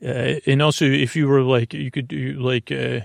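A man hosting a sleep podcast with a gravelly voice, talking in a hesitant, halting, meandering bedtime monologue that drifts into tangents. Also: mid-range voice, flat delivery, dry recording, clean studio sound. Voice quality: gravelly, creaky.

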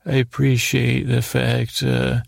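A man hosting a bedtime podcast in a meandering, halting monologue full of whimsical asides and self-corrections, with a creaky, gravelly voice. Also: medium pitch, monotone, dry recording, studio quality. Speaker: podcast host. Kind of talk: rambling bedtime monologue to help listeners sleep.

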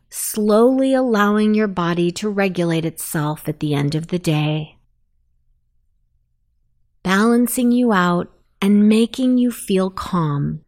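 A woman narrating a guided sleep meditation in a soothing, deliberate manner. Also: mid-range voice, a little mellow.

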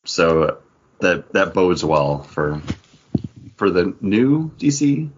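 Male podcast co-host, casual and animated, husky, on a slightly dull recording. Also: deep voice, slow pace, noisy background. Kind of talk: casual chat about entertainment news.

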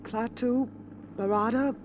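A woman's voice: on the high side, steady tone, slow pace, a little hiss or hum. An actress playing an anxious, frightened woman in a 1950s radio drama, earnest and dramatic. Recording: old 1950s radio broadcast recording.

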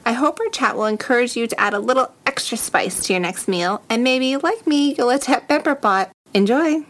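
A woman's voice: fairly high pitch, animated, normal speed, a bit bright. A woman hosting a podcast, moving between a scripted introduction and interview questions.

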